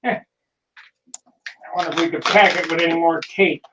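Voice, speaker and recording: A man's voice: fairly high pitch, steady tone, unhurried, distant mic, muffled recording, noisy background. A man in casual video call chat, talking about his model plane paint as he fumbles with a can. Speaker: hobbyist showing his paint.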